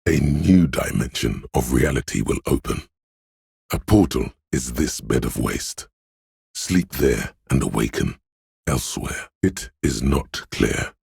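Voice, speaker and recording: A man's voice: no room sound, clean studio sound, full character voice. A man voicing a dreamy, mystical inner voice of intuition in a video game, narrating in a brooding, theatrical and intimate way. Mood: cryptic. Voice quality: very deep, gravelly, husky. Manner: brooding, theatrical, addressing the listener as you.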